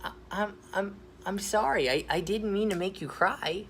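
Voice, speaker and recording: A man's voice: high-pitched, normal speed, gravelly, fairly bright tone, a little hiss or hum, pitched above the performer's own voice. A man voicing a kind young male gator who stammers and apologizes in a storybook reading. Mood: apologetic.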